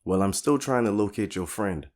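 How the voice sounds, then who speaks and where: A man's voice: lowish voice, lively intonation, normal speed, darkish tone, lightly acted. A man playing a guarded, plain-spoken barbershop owner standing firm against mobsters in an audio drama. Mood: calm, resolute.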